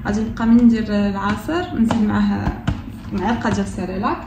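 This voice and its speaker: A young woman in her twenties with a Moroccan accent, chatting casually through a home vlog, relaxed and full of hesitations. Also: medium pitch, fast talker. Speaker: vlogger. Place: apartment kitchen, indoors. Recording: echoey room, distant mic, noisy background.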